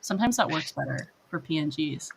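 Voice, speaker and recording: A woman's voice: deep voice, lively intonation, fairly quick, creaky, a little hiss or hum, rough recording. A woman chatting casually with colleagues while co-hosting a game team's livestream.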